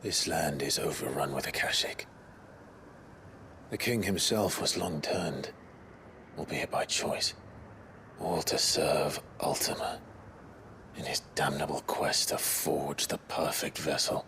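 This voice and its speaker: An actor playing a grim, weary, protective warrior in a video game, grave, measured and hushed at times. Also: male voice, rumbling low, a little hiss or hum, full character voice. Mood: somber. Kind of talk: dramatic video game acting. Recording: loud dramatic music behind.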